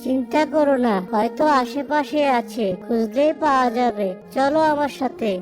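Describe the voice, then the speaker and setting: A performer voicing a gentle, caring village father, affectionate and reassuring, in a cartoon story. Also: high-pitched, normal speed, close to an everyday voice. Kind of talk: children's cartoon story acting. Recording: music behind the voice.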